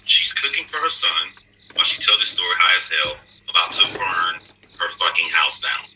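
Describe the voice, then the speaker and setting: A man's voice: low-pitched, sing-song, moderate pace, bright, forceful tone, recorded from a distance, slight background noise, poor audio. A man on a livestream, talking bluntly and accusingly. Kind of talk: casual livestream chat.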